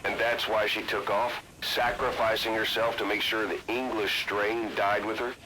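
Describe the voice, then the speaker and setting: An actor playing a cool, level-headed military intelligence adviser, giving a measured, matter-of-fact mission briefing in a video game. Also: male voice, on the low side, flat delivery, bright, forceful tone, slight background noise, low-quality recording. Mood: calm, pondering.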